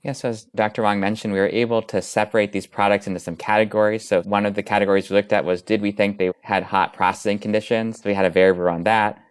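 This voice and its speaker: A man's voice: on the low side, steady tone, fast, hardly any pauses, clear, resonant tone, no echo. A man, a physician researcher, explaining findings methodically as an interview guest.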